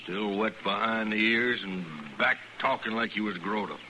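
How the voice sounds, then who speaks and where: An actor playing a harsh, hot-tempered frontier father in a 1950s radio western, gruff and threatening, with a rural Western drawl. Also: on the low side, moderate pace, lightly acted. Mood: angry, bullying. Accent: rural American Western drawl. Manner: gruff, threatening, folksy speech. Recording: hissy old radio recording.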